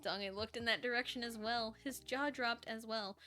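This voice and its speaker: A woman streamer reading a story aloud, expressive and playful, with chatty asides. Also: on the high side, normal speed, soft background music.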